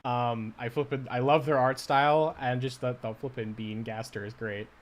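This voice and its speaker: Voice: mid-range voice, steady tone, normal speed. A co-host chatting casually on a livestream in an informal, playful way.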